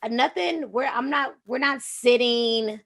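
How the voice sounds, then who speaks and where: A woman DJ and streamer with a slightly raspy voice, talking in a calm, flowing way as a guest in a casual interview. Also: fairly high pitch, unhurried. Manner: relaxed, flowing, says "you know what I'm saying" often.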